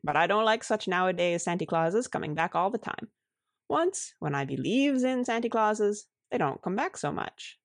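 A woman voicing a shrewd, good-natured, calm housewife who speaks wryly in foreign-tinged broken syntax. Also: medium pitch, normal speed, dry recording, studio quality, close to an everyday voice.

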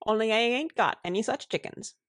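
A woman voicing a shrewd, good-natured, calm housewife who speaks wryly in foreign-tinged broken syntax. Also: a little high, fairly quick, dry recording, studio quality, voice pushed up.

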